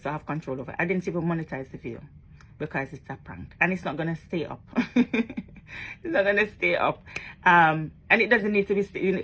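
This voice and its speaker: Woman, husky, lowish voice, chatty vlog talk, emphatic. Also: a bit bright. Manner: chatty, emphatic, tag questions. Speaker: social media blogger. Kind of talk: casual vlog monologue.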